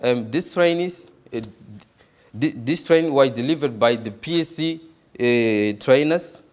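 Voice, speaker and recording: A man giving a conference presentation, halting and repeating words often. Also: medium pitch. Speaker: conference speaker.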